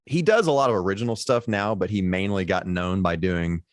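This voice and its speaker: A man with a low baritone voice talks as a guest on a casual podcast. He rambles with plenty of fillers and restarts. His voice sits low, and the recording is dry, with no room sound. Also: a little fast, dry recording. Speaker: guest musician.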